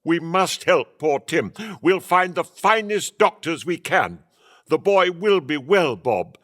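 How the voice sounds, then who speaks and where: A man's voice: on the high side, expressive, slow, a bit bright. An older man acting in a radio play as a blustering old miser who has turned generous. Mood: warm, earnest. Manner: blustering, theatrical delivery. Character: reformed old miser boss, gruff bluster turning generous.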